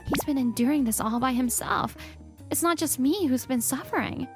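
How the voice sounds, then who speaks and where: A woman voicing an earnest, expressive young mother and wife in a narrated manga dub. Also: sits high, moderate pace, music in the background, natural voice. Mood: earnest narration. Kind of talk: scripted story narration, manga dub acting.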